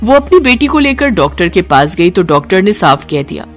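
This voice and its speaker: A woman narrating a moral cartoon story in an expressive, melodramatic way. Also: fairly low pitch, normal speed, faint music behind.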